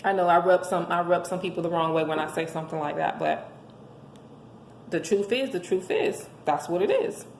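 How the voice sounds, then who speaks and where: Hoarse lady preaching relationship advice, croaky fry in her voice, echoey room at home. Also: fairly low pitch, normal speed. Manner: emphatic, preachy, rhetorical questions. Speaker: host giving relationship advice. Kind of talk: motivational monologue to listeners. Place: indoors at home. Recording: echoey room with faint background noise.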